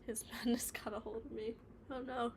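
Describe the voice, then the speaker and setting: A woman's voice: fairly high pitch, level tone, moderate pace, darkish tone, a little hiss or hum. A woman live-streaming her stop-motion animation work, chatting casually, reading comments and rambling with mumbled asides. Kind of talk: casual livestream chat reading viewer comments. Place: home workspace, animating on the floor.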